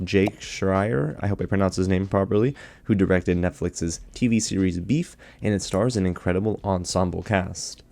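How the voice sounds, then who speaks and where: Low mellow guy getting over a cold, chatty movie review to his viewers. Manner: enthusiastic, chatty, addresses viewers directly. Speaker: movie reviewer, channel host. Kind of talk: casual movie review to camera.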